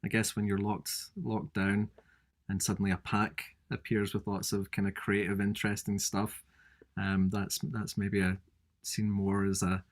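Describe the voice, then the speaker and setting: A man with a low voice speaks in a flat, even tone. As a guest on a video-call panel, he answers in a thoughtful, halting way and often starts his sentences over. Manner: thoughtful, halting, with frequent restarts. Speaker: design researcher, panel guest.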